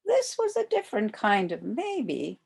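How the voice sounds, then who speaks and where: An older woman reading a children's storybook aloud as a volunteer, with expressive delivery aimed at young children. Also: fairly high pitch, a little slow, creaky, breathy edge.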